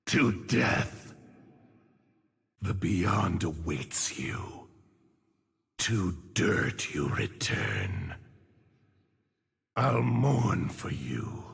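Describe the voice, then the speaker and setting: Game casting: a massive, mournful grave-keeping monk, deep and husky, solemn delivery drenched in reverb. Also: male voice, big pitch swings, heavily acted. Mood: somber, menacing. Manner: somber, slow, solemn. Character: huge somber undead gravedigger monk, shepherd of lost souls. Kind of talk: video game character voice lines. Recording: long cavernous reverb effect.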